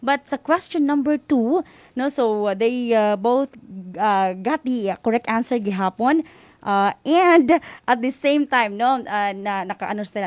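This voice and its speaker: A woman's voice: fairly high pitch, dry recording. A Filipino woman with a Visayan accent hosting a school-on-the-air session informally, mixing English and Visayan and often adding "no?" as a tag. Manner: switches between English and Visayan, frequent "no?" tags. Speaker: host of a radio school program.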